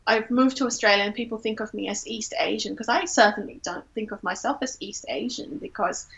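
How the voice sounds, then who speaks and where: A woman's voice: mid-range voice, a little fast, gravelly, a bit bright. A woman from Singapore, a poet and teacher, talking reflectively as an interview guest and searching for her words. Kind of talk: reflective literary interview talk.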